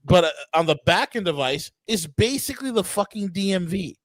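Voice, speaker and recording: Animated New York man, emphatic. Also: high voice, a little fast, dry recording. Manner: emphatic, exasperated, stammering restarts. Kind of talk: heated podcast debate.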